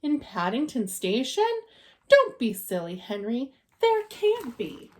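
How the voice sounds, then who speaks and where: A woman voicing a warm-hearted, decisive middle-aged wife and mother in a storybook, brisk and given to exclaiming. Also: on the high side, wildly swooping pitch, exaggerated voice. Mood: incredulous.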